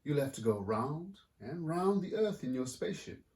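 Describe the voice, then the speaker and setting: A man's voice: fairly high pitch, very animated, normal speed, darkish tone, distant mic, voice pushed up. A man voicing a playful, loving father who teases with questions in a storybook reading. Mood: questioning. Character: playful, loving father testing his daughter.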